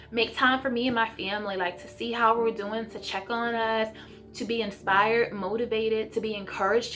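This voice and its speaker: Female homeschool vlogger, slightly high voice, effusive and supportive, informal direct address over music. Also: a bit bright. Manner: effusive, encouraging, direct to viewers. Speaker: homeschooling YouTube host. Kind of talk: casual vlog chat.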